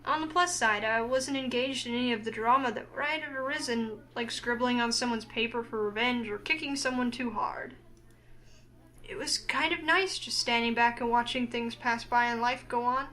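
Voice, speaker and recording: Woman playing an earnest high-voiced young heroine. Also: moderate pace, pushed and bright. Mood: wistful. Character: curious, introspective young woman heroine narrating her thoughts. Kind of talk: visual novel read-aloud.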